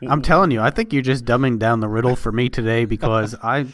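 A man's voice: medium pitch, quick pace, a bit bright, no echo. A man chatting casually as part of a podcast conversation. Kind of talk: casual chat.

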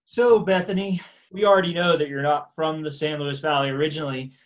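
A man hosting a podcast interview, friendly and quick to laugh along. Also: fairly high pitch, fairly even intonation. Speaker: podcast host. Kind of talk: conversational interview.

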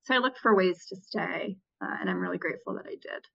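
A woman in her thirties answers interview questions in a relaxed, reflective way. She pauses and restarts often as she works through her memories. The recording sounds a little dull and lo-fi. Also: mid-range voice, gravelly. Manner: reflective, with frequent restarts and fillers. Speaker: interview guest, former Peace Corps volunteer.

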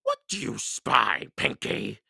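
Mean giant pirate boss, male reader: growling, commanding, forceful, lively swings. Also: lowish voice, slow pace, gravelly, husky, no room sound, studio quality, heavily acted. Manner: roaring, commanding.

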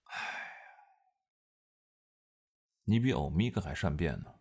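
A man acting an aloof young male officer in an audio drama, terse and cool with a guarded soft side. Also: deep voice, animated, slow pace, husky, heavily acted. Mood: cool, teasing.

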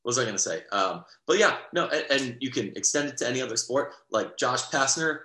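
A man's voice: medium pitch, expressive, brisk, pushed and bright, roomy sound. A young man co-hosting a sports podcast in casual, rambling chat, full of fillers and restarts. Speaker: podcast co-host.

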